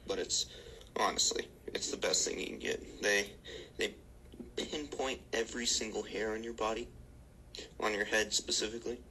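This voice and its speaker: A man playing a gravelly mock grooming expert. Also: fairly even intonation, moderate pace, sharp tone, a little hiss or hum, low-quality recording. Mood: mock-earnest. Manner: mock-earnest sales pitch, often whispery. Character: man posing as a shaved-chimp grooming expert. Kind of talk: comic spoof promo video.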